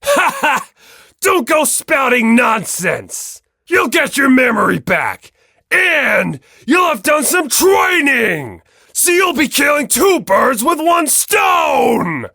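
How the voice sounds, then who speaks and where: A hot-blooded giant of a young coach, shouting in a strained, very high-pushed voice. It is bright and forceful with a gravelly edge, every phrase hammered out with bombast. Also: sing-song, slow pace, no room sound, clean studio sound, full character voice. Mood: loud, boisterous. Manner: booming, emphatic shouting.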